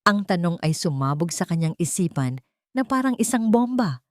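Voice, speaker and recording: A woman narrating a scripted dramatic story in a suspenseful way, pausing between short phrases. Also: on the low side, sing-song, no echo, studio quality.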